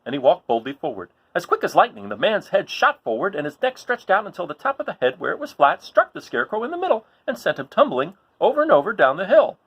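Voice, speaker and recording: A man reading a children's story aloud in an expressive style, as the host of a read-along. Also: sits high, quick pace, few pauses, slightly rough, low-quality recording.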